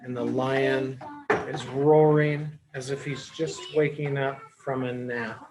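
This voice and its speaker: A man reading a picture book aloud to young children as a teacher, explaining simply with deliberate pauses. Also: mid-range voice, fairly even intonation, noisy background. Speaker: children's storybook reader, early education teacher.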